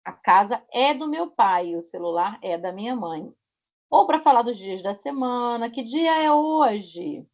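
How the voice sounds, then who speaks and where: A woman's voice: a little high, animated, measured pace. Carioca woman, online Portuguese teacher, stretches example words, dull call audio. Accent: Rio de Janeiro Portuguese. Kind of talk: online language class. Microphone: video call. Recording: muffled video call audio.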